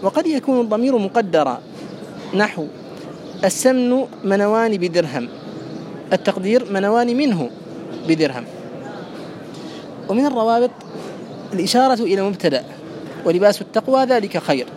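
A man with a notably high voice teaches classical grammar in a steady, methodical way. He repeats each phrase and breaks it down piece by piece. Clear traffic noise runs behind him throughout. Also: normal speed, long pauses.

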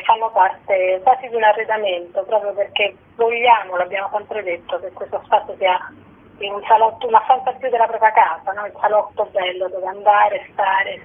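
A woman's voice: medium pitch, steady tone, normal speed, slightly rough. A woman giving an informal interview as a theatre representative over the telephone. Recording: muffled, narrow telephone line.

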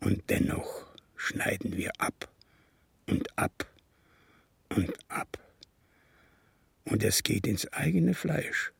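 A bitter old communist man in a radio play, his voice deeply gravelly and very husky. He speaks slowly, with solemn, halting weight, brooding over his guilt. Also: big pitch swings, no echo, exaggerated voice. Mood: brooding, remorseful. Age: older. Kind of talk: radio drama acting with monologue.

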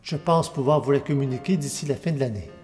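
A male podcast host with a mellow, mid-pitched voice reads listener mail and replies. His delivery is courteous and formal, with soft guitar music playing behind him. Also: quick pace. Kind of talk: scripted reading of letters and replies.

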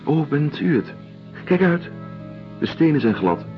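A male actor in a radio drama playing a weary, detached doctor and writer, speaking in a measured, deliberate way. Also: fairly high pitch, big pitch swings, soft background music. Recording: dull lo-fi radio play recording.

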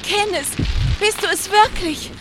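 A high, earnest voice of a shaken but plucky female innkeeper in a radio drama, with faint noise behind it. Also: measured pace. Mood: amazed relief. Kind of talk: radio play acting.

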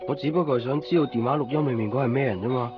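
A man's voice: medium pitch, racing, highs cut off, natural voice. An actor playing a curious, obsessive young man telling his own story in a radio drama. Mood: reflective, quiet. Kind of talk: Cantonese radio drama, introspective narration and dialogue. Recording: 1990s radio drama, band-limited, music behind.

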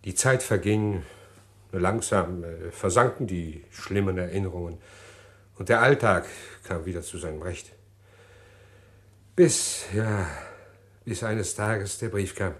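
An actor playing a scheming, self-pitying husband who recounts his crime in a German radio play, deliberate and theatrical. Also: low voice. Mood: reflective.

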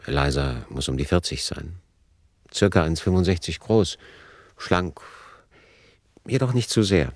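An actor playing a detached narrator who sets out notes for a novel in a clinical, deliberate way, in radio drama style. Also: male voice, low voice, lively intonation, dry recording, clean studio sound, full character voice. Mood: analytical. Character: analytical male narrator dictating notes on a novel.

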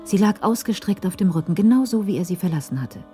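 A woman's voice: mid-range voice, animated, quick pace, dark tone, soft background music. A woman narrating a radio crime drama from a script in the role of storyteller.

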